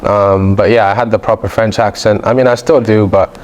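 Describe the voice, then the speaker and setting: Low-voiced man with international British accent. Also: brisk. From Belgium. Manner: relaxed, talks in loose run-on phrases. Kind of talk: casual interview chat.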